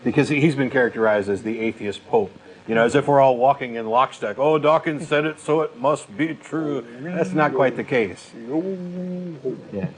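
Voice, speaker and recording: A man's voice: medium pitch, normal speed, low-quality recording. A man co-hosting a talk show, giving blunt opinions in casual, unscripted discussion.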